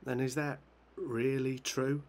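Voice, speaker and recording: An actor playing a measured, slightly hesitant male police chief questioning someone in a video game. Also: medium pitch, level tone, natural voice. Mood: measured, official. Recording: noisy with background ambience.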